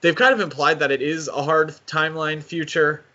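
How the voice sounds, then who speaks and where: A man's voice: fairly high pitch, fairly even intonation, fairly quick, bright, forceful tone, highs cut off. A man co-hosting a podcast in casual discussion, talking in a hesitant, rambling way and correcting himself as he goes. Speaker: podcast co-host.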